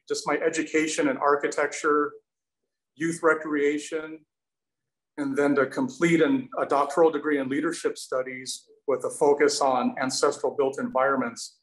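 A man in his late forties answers questions over a webcam with an earnest, grateful manner. His voice sits a little high for a man. The call audio carries a roomy echo. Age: 40s. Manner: earnest, thankful, some restarts. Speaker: presenter, canoe maker. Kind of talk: casual Q&A answers. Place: indoor studio with windows. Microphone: video call, webcam.

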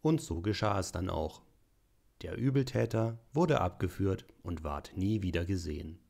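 A man narrating a parody audio play in a dry, deadpan storyteller style. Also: low voice, moderate pace, gravelly, exaggerated voice.

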